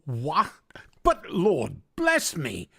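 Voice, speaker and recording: Acted Victorian gentleman, gravelly, swooping intonation, formal, flustered, radio play. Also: medium pitch, huge pitch swings, very slow, no room sound, studio quality, full character voice. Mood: astonished, grateful. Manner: hesitant, formal, astonished. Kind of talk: vintage radio drama acting.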